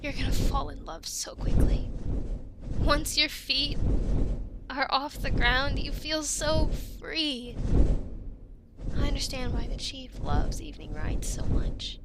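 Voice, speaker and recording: Casting: actress as young female Viking dragon trainer for fantasy audio roleplay. High voice, unhurried delivery, reassuring and gently teasing. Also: heavy background noise. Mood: warm, wistful. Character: friendly, encouraging young female Viking dragon-riding instructor. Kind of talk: ASMR roleplay, addressed to the listener. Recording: loud ambient sound effects behind voice. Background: loud ambience sound effects.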